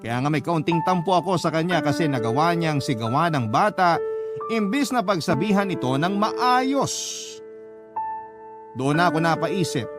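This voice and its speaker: A man's voice: fairly high pitch, close to an everyday voice. A Filipino man reading a troubled young mother's letter as dramatic first-person radio narration. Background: music bed.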